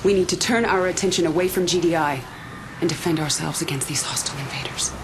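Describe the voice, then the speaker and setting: Actress as a commanding low-voiced female military leader. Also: background noise, close to an everyday voice. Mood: grave, urgent. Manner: formal, measured briefing delivery. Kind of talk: video game cutscene acting.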